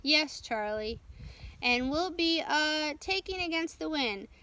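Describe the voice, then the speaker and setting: A woman's voice: high-pitched, expressive, slow pace, pushed and bright, voice pushed up, heavily acted. A woman voicing a determined, confident male navigator with a firm manner in a storybook read-aloud. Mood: reassuring. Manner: firm, assured.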